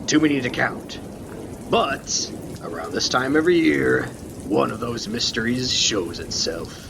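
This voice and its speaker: A man playing a calm, wise, mysterious lighthouse keeper in a student radio play, speaking in a deliberate storytelling way with pauses. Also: medium pitch, gravelly. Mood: musing. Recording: rain and thunder noise behind. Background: rain and thunder.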